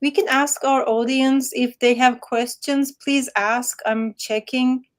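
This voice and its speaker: Moderator, female, a little high-pitched, strings her questions out at length, over a video call. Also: steady tone, slow. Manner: long, winding questions. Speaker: workshop moderator. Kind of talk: moderating an online Q&A.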